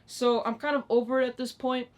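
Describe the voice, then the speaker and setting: Young woman, late teens, higher-pitched voice, exasperated, sweary, emphatic, casual vlog rant. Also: steady tone, fairly quick. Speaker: online video creator. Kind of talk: ranting vlog, casual unscripted talk.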